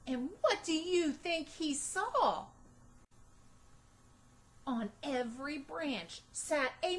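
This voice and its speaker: A woman reads a picture book aloud for young children. Her voice is high and very lively in pitch, and she takes her time. She places her emphasis dramatically and expressively. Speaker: storytime reader. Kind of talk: animated children's read-aloud narration.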